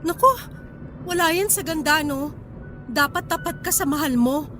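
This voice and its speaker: A woman's voice: high-pitched, normal speed, lightly acted. An actress playing a betrayed wife and mother in a Filipino radio drama, plaintive, exasperated and feisty. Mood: hurt, tearful. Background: background music.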